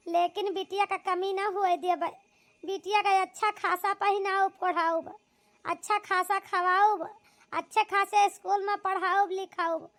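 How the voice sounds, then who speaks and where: A woman voicing a doting, hard-working widowed village mother in a cartoon, with an Awadhi accent and a plaintive, coaxing rustic delivery. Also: very high voice. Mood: earnest, determined. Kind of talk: homemade cartoon comedy drama dialogue.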